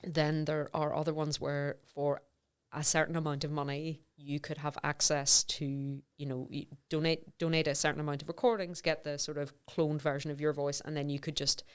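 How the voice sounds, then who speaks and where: A woman academic speaks in a notably low register, her voice smooth and resonant. She is middle-aged and explains her ideas conversationally, circling back with fillers and self-corrections as she thinks aloud. The whole thing sounds like an informal podcast interview. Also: little pitch movement, normal speed, few pauses. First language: English. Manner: thoughtful, many fillers and self-restarts. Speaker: voice researcher, podcast guest.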